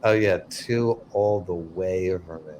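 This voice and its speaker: Low-voiced man bantering casually. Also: a little slow, darkish tone, slight background noise. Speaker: panel game player.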